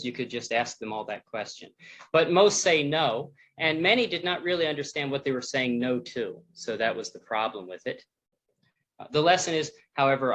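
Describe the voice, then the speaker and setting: A man from the United States presents a personal testimony at an online church forum, talking plainly with frequent restarts and pauses. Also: mid-range voice, fairly bright tone, poor audio. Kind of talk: personal testimony talk with slides.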